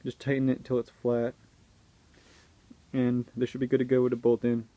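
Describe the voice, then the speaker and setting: A man's voice: mid-range voice, monotone, moderate pace, warm tone, dry recording, dull audio. A man gives casual, hands-on how-to instruction in an informal, offhand way, recorded in and around a car. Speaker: do-it-yourself video presenter. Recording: clearly noisy car, handling clicks.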